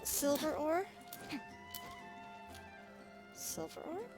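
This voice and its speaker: A woman's voice: sits high, expressive, extremely slow. A woman streaming a game with casual commentary, thinking aloud in a rambling, hesitant way. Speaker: streamer, vtuber host. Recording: game music playing behind the voice. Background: video game music.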